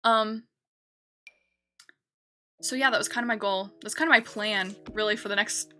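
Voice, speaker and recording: A woman's voice: medium pitch, steady tone, normal speed, pushed and bright, soft background music. A young woman streamer chatting casually while building in a game, rambling and correcting herself with lots of fillers.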